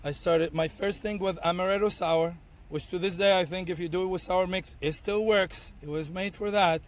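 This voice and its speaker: A man in his thirties, Arabic his first language, talks in a lively, storytelling way, acting out his own past lines with many restarts. His voice sits a little higher than most men's. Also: normal speed. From Tunisia. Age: 30s. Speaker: bartender guest. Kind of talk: casual podcast conversation.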